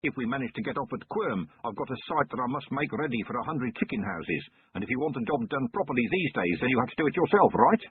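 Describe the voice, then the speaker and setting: A man voicing a nervous, plump male chicken farmer who over-explains and says "sir" constantly, in a raspy voice. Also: medium pitch, expressive, fast, hardly any pauses. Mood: anxious. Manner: pleading, over-explaining, keeps saying sir. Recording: muffled lo-fi narrow-band recording.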